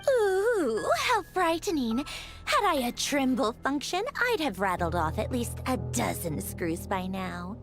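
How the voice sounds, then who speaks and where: A woman's voice: fairly high pitch, sing-song, measured pace, faint music behind. An actress playing a haughty, sadistically amused female robot villain in a video game, theatrical, bored and playfully taunting. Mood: mocking.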